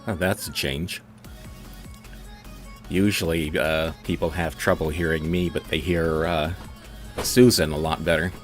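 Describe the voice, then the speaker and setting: A man's voice: a bass voice, steady tone, a little slow, long pauses, soft background music. An American man guiding a live online tour, easygoing and chuckling at his own jokes over voice chat. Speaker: tour guide. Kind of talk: casual voice chat on a live stream.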